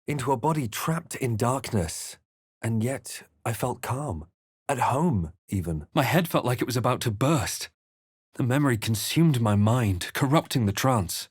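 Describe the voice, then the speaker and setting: An actor playing a brooding adventurer hero in a fantasy game, speaking in a grave, deliberate, understated way. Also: male voice, mid-range voice, slightly rough, no room sound, clean studio sound. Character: brooding, measured adventurer hero recounting his dark journey. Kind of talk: scripted fantasy game narration and character lines.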